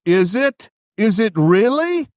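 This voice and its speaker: A man playing an anxious, theatrical history book puppet in a stage puppet musical, with melodramatic, drawn-out delivery. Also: high-pitched, sing-song, darkish tone, no echo, clean studio sound, full character voice. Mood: indignant. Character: dramatic, anxious old-fashioned history book puppet, male.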